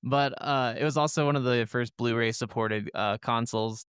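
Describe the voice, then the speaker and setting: A man's voice: mid-range voice, steady tone, normal speed, fairly bright tone, smooth, dry recording, studio quality. A man co-hosting a podcast, chatting casually and informally about video games. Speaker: podcast co-host.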